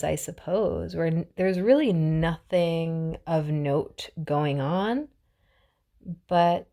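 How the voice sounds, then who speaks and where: A young adult woman hosting a mindfulness podcast, talking in a chatty, reflective way with many restarts and now and then dropping to a soft whisper. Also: lowish voice, vocal fry. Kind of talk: casual reflective monologue.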